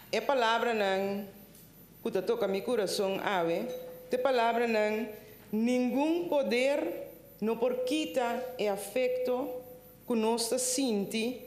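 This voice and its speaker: Woman, ceremonial council speech, slow and measured, roomy echo. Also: a little high. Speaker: council member giving a speech. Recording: echoey hall.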